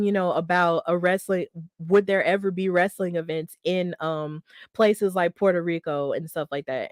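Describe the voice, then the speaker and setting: A woman's voice: lowish voice, no room sound, studio quality. A woman hosting a solo wrestling news podcast, talking in a chatty, upbeat way with lots of fillers and self-corrections. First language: English. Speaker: podcast host.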